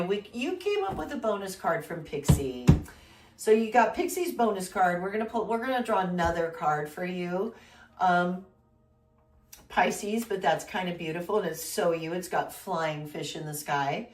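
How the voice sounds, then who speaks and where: Low woman's voice, stuffy from a flu, rambling tarot host; recorded off-mic in an echoey room. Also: animated, a little slow, distant mic. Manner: rambling, warm-hearted, many fillers and restarts. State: congested, recovering from flu, coughing. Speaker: tarot reader, channel host. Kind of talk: casual weekly tarot reading.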